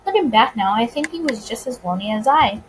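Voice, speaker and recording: A woman's voice: a little high, animated, fast talker, slight background noise, close to an everyday voice, low-quality recording. A woman voicing a suave, smug male bootlegger in a visual novel, courteous, wordy and self-assured. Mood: reflective. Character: suave, smug, teasing male gangster bootlegger of 1920s America.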